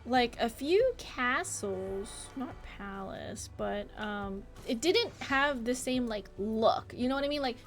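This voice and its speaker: A chatty, expressive woman livestreaming a game in a casual, rambling way and talking to her viewers. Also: fairly high pitch, takes it slowly, hardly any pauses, faint music behind. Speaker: live game streamer. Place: indoor home office.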